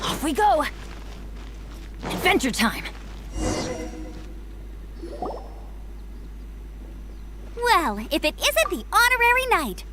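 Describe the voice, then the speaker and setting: A woman's voice: extremely high pitch, sing-song, measured pace, background noise, full character voice. An actress playing a perky, encouraging young female scout in a video game, with a bubbly, eager way of talking. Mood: upbeat. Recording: game music behind the voice.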